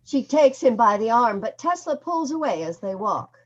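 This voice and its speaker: A woman's voice: fairly high pitch, very animated, normal speed. A woman reading stage directions as scripted narration for an online play reading. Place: indoors, remote online reading. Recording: dull lo-fi video call.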